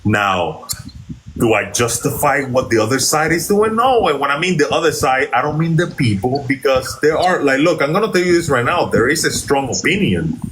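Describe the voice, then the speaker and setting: A man's voice: medium pitch, lively intonation, normal speed, a bit bright, slight background noise, low-quality recording. Rambling Venezuelan-born man on a call. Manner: rambling, hesitant, restarts often. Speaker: guest caller. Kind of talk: casual debate chat.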